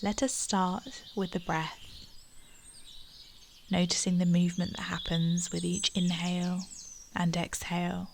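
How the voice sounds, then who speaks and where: Soft-spoken woman guiding a meditation. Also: lowish voice, flat delivery, background noise. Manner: slow, soft and soothing, with breathy near-whispered phrases. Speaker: meditation guide. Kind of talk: guided meditation.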